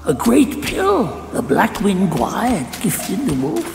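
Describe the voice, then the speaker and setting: An actor voicing a spry, folksy old earth god in a video game, scolding but helpful and wry. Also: male voice, sits high, takes it slowly, gravelly, exaggerated voice. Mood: advising. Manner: animated, folksy, chatty and wry. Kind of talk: theatrical video game voice acting. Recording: music under the voice. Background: game music.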